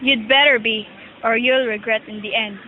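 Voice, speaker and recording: High-voiced stern old father, acted by a young woman. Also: steady tone, moderate pace, slight background noise, close to an everyday voice. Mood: stern, scolding. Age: young adult. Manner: lecturing, admonishing. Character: stern, ailing old rich father of two sons. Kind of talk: amateur student audio drama. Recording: muffled amateur recording with music.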